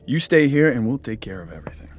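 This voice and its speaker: An actor playing a brash, crude young ghost hunter with a cocky, casual manner in a radio drama. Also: male voice, mid-range voice, big pitch swings, fast talker, natural voice. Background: loud dramatic music.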